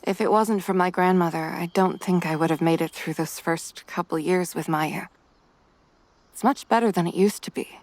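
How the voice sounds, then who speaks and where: A woman voicing a reserved, refined mother who carries quiet guilt, with formal, measured wording in a motion comic. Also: fairly low pitch, no echo, close to an everyday voice. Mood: sorrowful, hushed.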